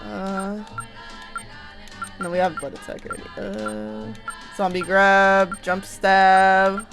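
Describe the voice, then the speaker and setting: A young woman doing casual, sweary, excitable gameplay commentary, often repeating phrases. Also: medium pitch, slow, creaky. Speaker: gamer. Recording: game music behind the voice.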